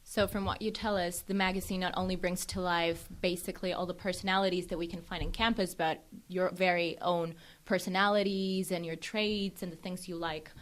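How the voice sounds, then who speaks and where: A woman's voice: fairly low pitch, fairly even intonation, fairly quick, few pauses. A woman hosting a student radio interview, introducing guests and putting questions to them. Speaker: radio podcast host.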